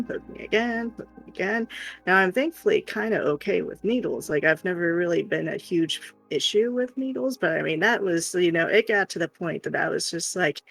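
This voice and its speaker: An animated speaker with a creaky, mid-pitched voice tells personal stories in a casual chat. Quoted remarks get acted out, and faint music plays behind. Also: poor audio. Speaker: live stream host.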